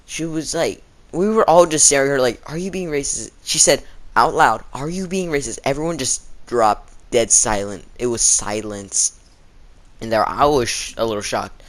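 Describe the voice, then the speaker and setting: A man's voice: mid-range voice, moderate pace. A teenage male vlogger chatting casually as he tells a rambling story, acting out the people he quotes. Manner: rambling, acts out quoted exchanges.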